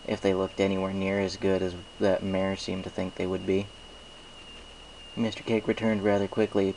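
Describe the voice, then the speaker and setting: A man with a fairly low voice narrates a story in the first person. He reads from a script as the narrator. Slight noise runs behind his voice. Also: darkish tone, rough recording. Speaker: fan fiction narrator.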